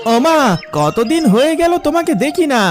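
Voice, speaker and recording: A man plays a wheedling, selfish grown son in a village cartoon. His high voice swoops with lively intonation as he coaxes and pleads, with music underneath. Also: moderate pace. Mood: wheedling, plaintive. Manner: pleading, coaxing. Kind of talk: Bengali moral cartoon dialogue.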